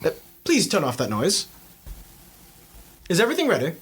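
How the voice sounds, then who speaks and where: A student doing a deadpan British butler. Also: medium pitch, moderate pace, slightly rough, pitched above the performer's own voice, full character voice. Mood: dry. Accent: put-on British. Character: dry, dark-humoured British butler.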